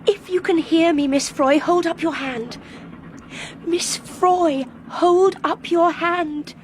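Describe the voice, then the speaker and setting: Very high-voiced, determined young woman. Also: slow pace. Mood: desperate. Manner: urgent, insistent pleading. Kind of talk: radio drama acting. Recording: clear background train noise.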